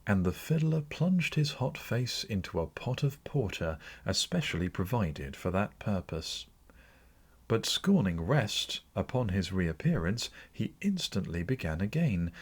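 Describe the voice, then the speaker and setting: A man narrating classic fiction for an audiobook in an expressive, theatrical storytelling manner. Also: on the low side, slightly rough.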